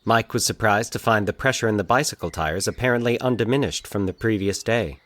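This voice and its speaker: Low-voiced man narrating a story. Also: brisk, a bit bright, no room sound. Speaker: narrator of a fiction podcast.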